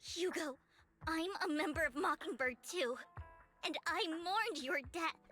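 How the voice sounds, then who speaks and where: A woman's voice: extremely high pitch, unhurried, bright, forceful tone, soft background music, heavily acted. A woman playing a hurt, indignant young member of a thief band in a video game, scolding with emotion.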